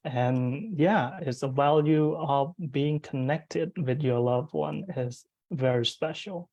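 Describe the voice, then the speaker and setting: A young man from Cambodia, a guest on an interview call, talking in an earnest, halting way with many fillers and restarts. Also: a little high, darkish tone, dry recording. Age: young adult. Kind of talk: casual interview conversation.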